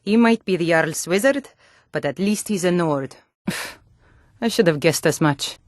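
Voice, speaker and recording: A proud, hardy Nord warrior woman from a fantasy video game. Her voice sits on the low side and is recorded dry and close. She speaks firmly and plainly, with an earnest air. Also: expressive, measured pace, no room sound. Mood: scornful.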